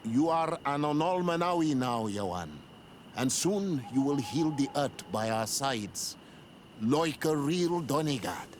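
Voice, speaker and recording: A man's voice: a little high, expressive, measured pace, a little hiss or hum. An actor playing a grave, formal male village leader, wary but gracious, in a video game cutscene. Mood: solemn, gracious.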